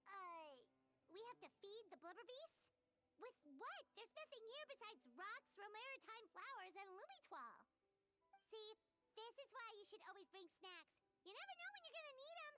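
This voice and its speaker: A woman voicing a small, childlike, food-loving fairy companion in an anime video game, squeaky and full of exclamations. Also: moderate pace, soft background music, exaggerated voice. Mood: puzzled, doubtful. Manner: exclamatory, expressive.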